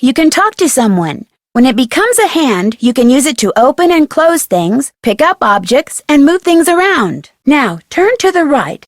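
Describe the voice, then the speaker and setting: A young amateur detective heroine from an adventure game. Her voice is on the high side and very animated, with a self-assured, inquisitive, conversational delivery. The sound is dry and studio-close. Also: no room sound. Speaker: game protagonist and tutorial guide. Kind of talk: scripted adventure game dialogue and narration.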